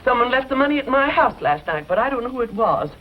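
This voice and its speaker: Brusque older businesswoman, very animated intonation, emphatic, vintage western drama, muffled recording. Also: a little high, quick pace. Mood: puzzled. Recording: dull lo-fi old recording, background noise.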